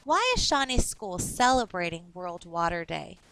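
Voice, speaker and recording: A woman teacher reading a story aloud for children in a clear, instructive way. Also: on the low side, very animated, normal speed, fairly bright tone, a little hiss or hum, rough recording.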